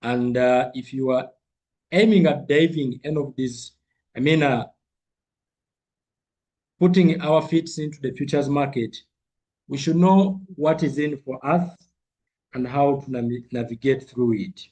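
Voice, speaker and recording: A man's voice: mid-range voice, unhurried, long pauses, a little mellow. A man with a cold teaching a live online trading lesson, repeating figures and phrases for emphasis. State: has a cold. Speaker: trading coach.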